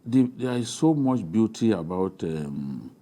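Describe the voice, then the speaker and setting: A middle-aged man with a Nigerian accent in an unscripted panel discussion, speaking haltingly and repeating words. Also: fairly low pitch, big pitch swings, warm tone.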